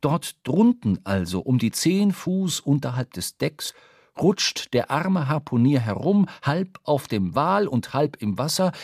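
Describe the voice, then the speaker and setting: A man narrating a literary radio drama from a script, storytelling in measured, formal diction. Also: medium pitch, darkish tone, husky, dry recording, studio quality. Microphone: close studio microphone.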